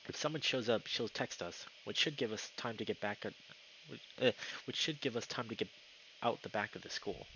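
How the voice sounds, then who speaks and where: A man's voice: on the low side, brisk, pushed and bright, muffled recording, a little hiss or hum. A man live streaming a game, chatting casually and reading the story text aloud, sometimes tripping over words. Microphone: streaming mic with noise filtering.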